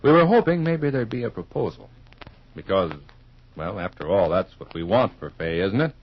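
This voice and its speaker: A man announcing on 1950s radio, reading scripted pitches and narration in an emphatic, folksy, polished way. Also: animated, moderate pace, husky. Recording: muffled vintage radio recording.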